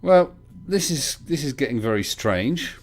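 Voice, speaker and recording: A man's voice: medium pitch, lively intonation, measured pace. A man hosting a casual livestream call-in chat, bringing callers in and answering them with dry, wry humour. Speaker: livestream host.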